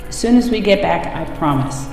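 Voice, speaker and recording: A woman playing a capable, upbeat research assistant and pilot in an audio drama, brisk and assured. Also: lowish voice, expressive, a little mellow, soft background music. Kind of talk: full-cast audio drama acting.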